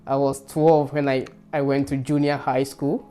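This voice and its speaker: A man's voice: mid-range voice, flat delivery, moderate pace, warm tone. A man of about thirty with a Ghanaian accent, answering as an interview guest in casual conversation and explaining things step by step. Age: around 30.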